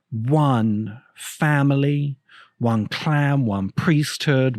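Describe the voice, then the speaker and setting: A man hosting a podcast, delivering a reflective monologue in a grave, deliberate way with emphatic phrasing. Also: mid-range voice, darkish tone, no echo, studio quality. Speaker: podcast host. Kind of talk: reflective monologue, video essay.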